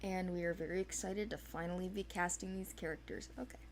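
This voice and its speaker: A woman's voice: lowish voice, fairly even intonation, a little fast. A woman giving an informal, unscripted explanation in a hesitant, rambling way, as a casting organizer.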